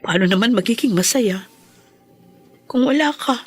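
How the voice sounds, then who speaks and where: An actress with a Filipino accent playing a steadfast, hurt wife of a prisoner, tender and emotional, in a radio drama. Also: female voice, fairly high pitch, expressive, normal speed, slightly rough, faint music behind. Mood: earnest pleading.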